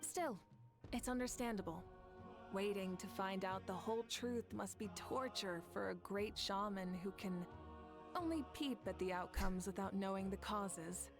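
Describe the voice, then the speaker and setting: An actress voicing a confident woman leader in a game, calm and reassuring, with game music behind. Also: medium pitch, unhurried, close to an everyday voice.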